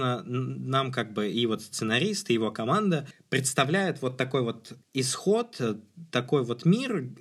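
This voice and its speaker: A man's voice: medium pitch, fairly bright tone. A man co-hosting a podcast, discussing film in an analytical, conversational way, hesitating as he searches for words. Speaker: podcast co-host.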